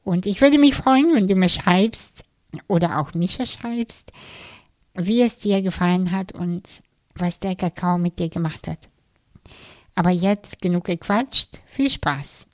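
A woman hosting a podcast, speaking softly and gently, with hesitant fillers in casual chat. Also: on the low side, unhurried, no room sound. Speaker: podcast host. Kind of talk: calm spoken intro and casual interview.

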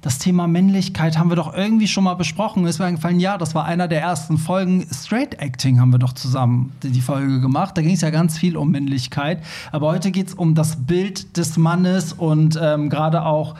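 Chatty male podcast host, softer mellow tone. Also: a little high, a little fast. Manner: chatty, informal. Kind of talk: casual podcast chat.

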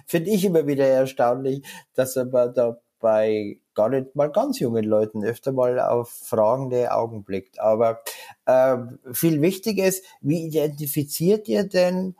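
Male podcast interviewer, dark mellow voice, mid pitch, colloquial and conversational. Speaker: podcast host, editor-in-chief.

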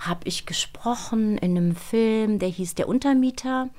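A woman speaking in a reflective interview, telling things emphatically and pausing to search for words. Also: on the high side, dark tone. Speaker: interview guest, voice actress and dubbing director.

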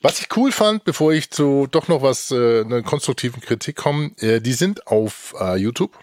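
A man's voice: mid-range voice, expressive, normal speed, a little mellow, dry recording, clean studio sound. A man hosting a casual panel podcast in a jokey, rambling way. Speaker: podcast host.

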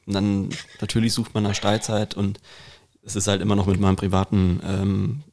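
Male co-host, lower-pitched, informal conversational delivery, reworks phrases mid-thought. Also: monotone, normal speed, darkish tone. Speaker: podcast co-host. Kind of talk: casual podcast chat.

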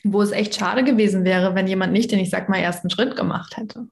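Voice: medium pitch, steady tone, brisk, gravelly, echoey room. A host in a casual interview chat, earnest and thoughtful, agreeing with the guest.

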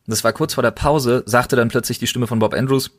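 A man's voice: mid-range voice, fairly even intonation, fairly quick, a bit bright. A man speaking as a podcast co-host in casual, colloquial conversation, telling things emphatically and with enthusiasm.

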